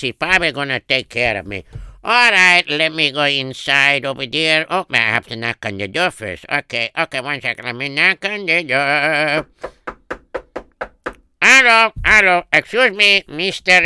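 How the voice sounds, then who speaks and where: A man's voice: a little high, sharp tone, clean tone, no echo, exaggerated voice. A man doing a hungry, humble beggar. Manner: hesitant, humble, exclaiming. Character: poor hungry male beggar, wide-eyed.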